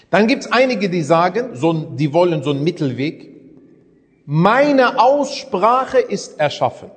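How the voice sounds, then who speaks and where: A man's voice: a little high, big-room sound. A man teaching a religious lesson in a conversational way, often asking his listeners rhetorical questions. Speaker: Islamic preacher.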